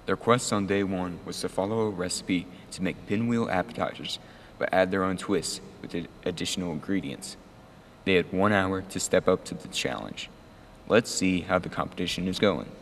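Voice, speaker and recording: Male narrator for a cooking competition show, low voice, scripted read, reverberant recording with faint noise. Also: steady tone, moderate pace.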